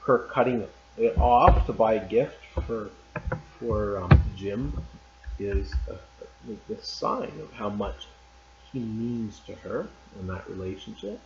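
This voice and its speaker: A man teacher reading a short story aloud in a calm, measured way. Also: on the low side, background noise. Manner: calm and measured, loose and chatty after reading. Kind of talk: read-aloud story narration. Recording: roomy, slightly dull recording.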